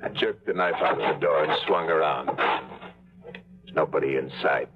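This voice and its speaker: An actor playing a tough, hard-drinking pilot turned tour guide, narrating and talking in clipped, dry, hard-boiled lines in a 1950s radio drama. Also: male voice, very deep voice, measured pace. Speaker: narrator and lead. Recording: lo-fi old radio broadcast with music.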